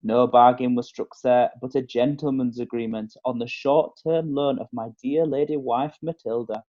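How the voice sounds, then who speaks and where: A man's voice: mid-range voice, fairly even intonation, normal speed, warm tone, highs cut off, close to an everyday voice. A man playing an evasive medieval husband in court who pleads with oily, overly humble manners. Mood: pleading. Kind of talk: amateur radio drama.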